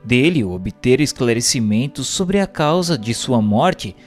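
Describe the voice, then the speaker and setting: A man's voice: mid-range voice, expressive, unhurried. A man reading a script as narrator and questioner, in a formal, declamatory way. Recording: long hall reverb. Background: faint music.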